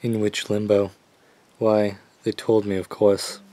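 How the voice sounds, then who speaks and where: An actor playing a sinister older cult doctor in an audio drama, measured, condescending and ominous. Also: male voice, on the low side, level tone, warm tone, no room sound. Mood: cold certainty.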